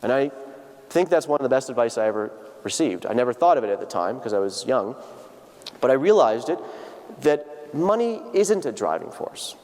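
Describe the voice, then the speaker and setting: A man's voice: medium pitch, very animated, moderate pace, darkish tone. A man in his thirties giving a personal, earnest lecture to students, often restarting his sentences with fillers. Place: large lecture hall. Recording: long hall reverb.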